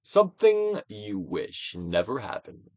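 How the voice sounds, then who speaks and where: A man giving a theatrical, Shakespearean-style dramatic reading of a self-help book, with deliberate pauses. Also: lowish voice, huge pitch swings, no echo. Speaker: audiobook reader, actor.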